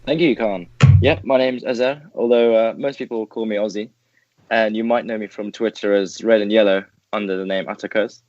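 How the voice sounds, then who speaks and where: A man from England co-hosting a casual football podcast, relaxed and easygoing. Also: lowish voice, fairly quick, no room sound. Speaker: podcast co-host. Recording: dry, dull lo-fi audio.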